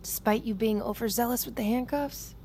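Actress as brash, sarcastic young army recruit. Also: female voice, fairly high pitch, a little fast, darkish tone, a little hiss or hum, close to an everyday voice. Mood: sarcastic, playful. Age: young adult. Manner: sarcastic, blunt. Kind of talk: naturalistic audio fiction acting.

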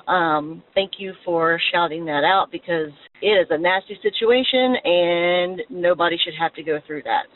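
A woman's voice: lowish voice, narrow, tinny audio, a little hiss or hum. A woman from Alabama leaving a casual personal message as a listener of a show. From Alabama, United States.

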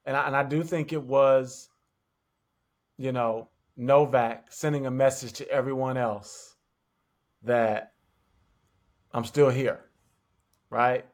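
A man hosting a tennis podcast, talking in a relaxed, conversational way. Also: mid-range voice, slow, pauses often. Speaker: podcast host. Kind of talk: podcast interview.

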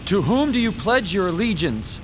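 Timid shopkeeper, fairly high voice, animated pitch, nervous, earnest, trying to be brave, radio drama. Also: male voice, normal speed, background noise. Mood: awed, flustered. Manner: earnest, hesitant. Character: timid, nervous male comic book shop owner, newly defiant.

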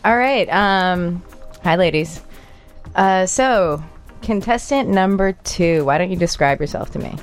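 A woman asking dating-game contestants questions in a casual, hesitant way with many pauses. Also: fairly low pitch, expressive, faint music behind. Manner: hesitant, lots of ums.

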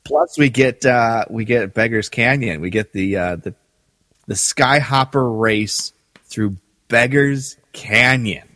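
A man's voice: medium pitch, takes it slowly, bright, forceful tone, no room sound, big-room sound. A middle-aged man co-hosting a podcast in casual, conversational discussion. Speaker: podcast co-host.

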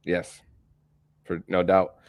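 A man hosting a sports podcast with casual commentary, speaking haltingly and often stumbling over repeated words. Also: sits low, monotone, dry recording, narrow, tinny audio.

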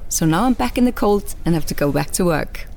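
An actress playing an easygoing café customer chatting casually in a low-key, hesitant way. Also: female voice, expressive, a little fast, darkish tone. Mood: relaxed. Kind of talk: casual drama dialogue. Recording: faint café background noise.

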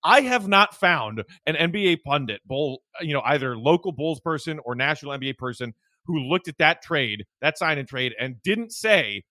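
A man's voice: fairly high pitch, big pitch swings, moderate pace, hardly any pauses, sharp tone, no room sound. A man co-hosting a sports podcast in casual, joking, informal talk.

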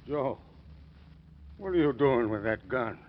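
An actor playing a wry, knowing old uncle in a 1940s radio drama. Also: male voice, medium pitch, animated, slow pace. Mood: alarmed. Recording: old muffled radio recording, faint noise.

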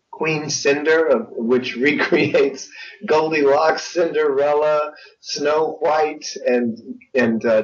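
An older man talks in a reflective interview style at a slow pace. His phrasing is articulate and measured. The sound is dull and sits away from the mic. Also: medium pitch, recorded from a distance. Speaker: interview guest, audiobook narrator. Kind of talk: conversational interview.

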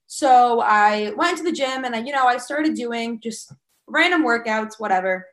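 A woman's voice: a little high, moderate pace. Woman, fitness coach, candid, casual, light laughs, echoey room. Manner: candid, relaxed, laughs lightly, filler likes. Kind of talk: casual podcast conversation.

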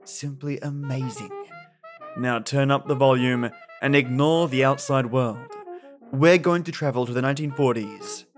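A man's voice: mid-range voice, a little slow. A man hosting a podcast, giving a scripted introduction and addressing listeners in an effusive, playful, affectionate way. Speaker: podcast host. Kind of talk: warm scripted show introduction with listener thanks. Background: music.

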